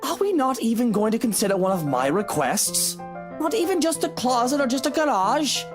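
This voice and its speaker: Imperious wealthy husband, game drama, impatient, sarcastic, extremely animated intonation, faint music. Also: male voice, extremely high pitch, moderate pace. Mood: indignant. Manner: petulant, theatrical, imperious. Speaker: game character. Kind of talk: dramatic visual novel voice acting.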